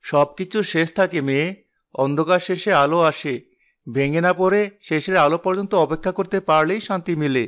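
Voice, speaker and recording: A man voicing a gentle, wise golden bird with soothing words in a cartoon moral tale. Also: on the high side, normal speed, lightly acted. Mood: wise, consoling. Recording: music behind the voice. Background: background music.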